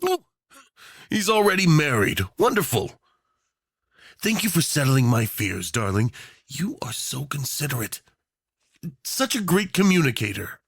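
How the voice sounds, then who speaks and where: A man's voice: a little high, creaky, fairly bright tone, breathy edge, dry recording, studio quality, heavily acted. A man playing a charming, obsessive tyrant in a romantic villain roleplay, drawling theatrically with mock politeness, flirtation and playful menace. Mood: jealous, then cheerful.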